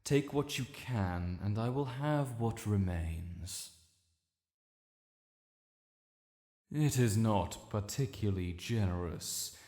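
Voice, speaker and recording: A British man voicing an ancient dragon lord, regal, stern yet protective, with formal, measured diction in a fantasy audio roleplay. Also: on the low side, expressive, warm tone. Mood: stately, weary. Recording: echoey cavernous reverb.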